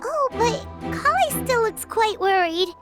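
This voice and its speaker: A woman voicing a tiny, squeaky, childlike fairy companion in a video game, chirpy in manner. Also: lively intonation, slow, full character voice. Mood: concerned, chirpy. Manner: speaks of self in third person. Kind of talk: video game character acting. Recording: loud music behind the voice.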